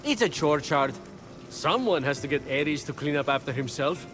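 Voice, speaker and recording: Glib cheeky game trickster god, high lively voice, wry sales patter, music going behind. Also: male voice, normal speed. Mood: salesman-like, cheeky.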